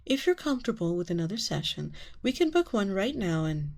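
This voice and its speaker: A woman playing a seductive, manipulative vampire queen who poses as a therapist in an ASMR roleplay, coaxing and breathy, often slipping into whispers. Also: medium pitch, sing-song, fairly quick, a little mellow. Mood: sweetly professional, coy. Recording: long reverberant echo on voice.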